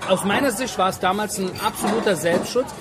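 High-voiced man, emphatic street talk. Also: brisk. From Berlin, Germany. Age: 40s. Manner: emphatic, slangy, repeats phrases for stress. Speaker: interview guest. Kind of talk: casual personal storytelling. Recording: music and crowd noise behind.

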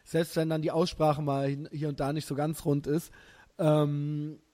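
A German man in his thirties hosting a podcast, chatting casually and telling animated, slangy stories with frequent laughter. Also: a little high, unhurried. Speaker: podcast host. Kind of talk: casual chat.

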